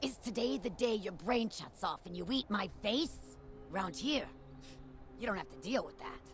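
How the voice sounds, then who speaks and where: A woman's voice: medium pitch, expressive, moderate pace, faint music behind, lightly acted. A woman playing a tough, wisecracking, jaded ghoul brawler in a video game, talking in cocky street slang with a gritty edge. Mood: wary. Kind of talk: video game character dialogue.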